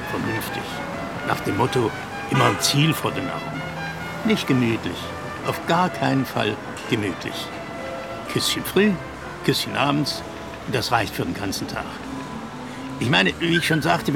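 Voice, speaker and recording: A man's voice: mid-range voice, huge pitch swings, normal speed, vocal fry. A man, chatting personally as an interviewee in the street. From Leipzig, Saxony, Germany. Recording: music and outdoor traffic behind.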